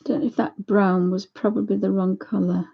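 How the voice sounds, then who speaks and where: Croaky woman chatting while she paints. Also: medium pitch, flat delivery, moderate pace, highs cut off. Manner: chatty, thinks aloud while painting, greets viewers. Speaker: art channel host. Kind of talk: live painting demo chat.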